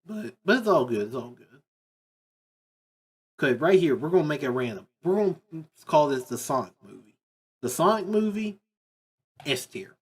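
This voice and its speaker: Husky, higher-pitched man rambling casually. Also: very animated, normal speed, pauses often. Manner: rambling, emphatic, repeats phrases. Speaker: video host ranking video game movies. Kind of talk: casual unscripted commentary.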